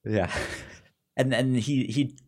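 A man's voice: medium pitch, takes it slowly. A man casually discussing a film as a podcast co-host, often stammering and repeating words, and acting out characters' lines. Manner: animatedly acts out characters' lines.

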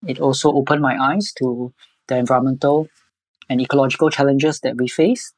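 A man from Singapore with a Singaporean accent, answering interview questions in a measured way with hesitant pauses. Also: medium pitch, fairly even intonation, no room sound, poor audio. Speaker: podcast guest, environmental educator.

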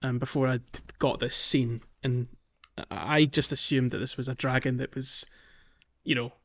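A man hosting a casual podcast about a game, talking in a halting, thoughtful way. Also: medium pitch, steady tone. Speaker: podcast host. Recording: slightly dull, muffled audio.

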